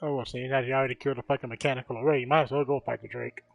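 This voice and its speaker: A young man in casual gaming voice chat, explaining things in measured phrases. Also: mid-range voice, fairly even intonation, creaky, dull audio, soft background music. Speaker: gamer chatting with streamer.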